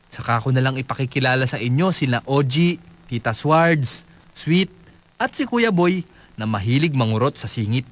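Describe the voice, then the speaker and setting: A man's voice: medium pitch, lively intonation, normal speed, dry recording, close to an everyday voice. A man playing a naive young squatter who solemnly and proudly reads his letter in a comic radio drama. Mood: earnest, proud. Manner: solemn, letter-reading delivery. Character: naive, earnest young male squatter writing home with pride. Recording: dull lo-fi radio broadcast.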